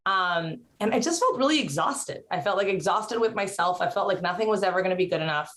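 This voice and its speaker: A woman in her thirties who grew up in Mexico, chatting over a video call from a curtained room at home. She speaks at an average pitch, tripping over fillers and restarting sentences as she goes. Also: expressive, fast, fairly bright tone. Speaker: interview guest, actress and writer. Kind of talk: casual video-call interview.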